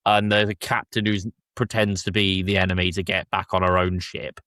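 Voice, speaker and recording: A man's voice: sits low, level tone, moderate pace, pushed and bright, dry recording, clean studio sound. A man hosting a casual review chat among friends on a podcast. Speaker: podcast host.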